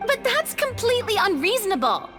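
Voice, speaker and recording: A woman's voice: very high voice, sing-song, fairly quick. A woman playing an earnest, anxious young female player in a game, with a fretful delivery. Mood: dismayed. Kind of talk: game voice acting. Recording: long hall reverb, music behind.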